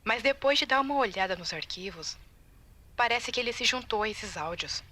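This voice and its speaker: Bright-voiced Brazilian woman, anxious game tester acted by a woman. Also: on the high side, lively intonation, brisk. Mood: uneasy, resolved. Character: anxious, determined female game tester leaving secret warning tapes. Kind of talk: recorded audio-log monologue, video game fan dub.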